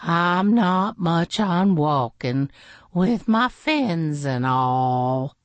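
Woman as a slow, glum grumbling pond fish. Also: mid-range voice, sing-song, no echo, muffled recording, heavily acted. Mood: resigned, glum. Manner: drawn-out, glum sighing. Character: gloomy grumbling pond fish, self-pitying.

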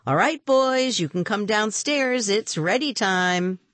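A brisk, cheerful aunt, as a woman narrator puts her on for a children's tale. Her womanly voice is lifted and sing-song, as if calling to children. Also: fairly high pitch, wildly swooping pitch, slightly rough, dry recording, voice pushed up, heavily acted. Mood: cheery, brisk. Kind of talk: children's audiobook character voice.

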